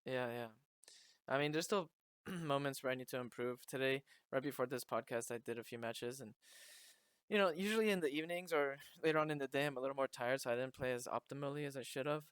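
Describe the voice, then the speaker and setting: A man's voice: medium pitch, fast talker, fairly bright tone, smooth, no echo. A man hosting a podcast, chatting and asking questions in a casual, conversational interview style. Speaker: podcast host.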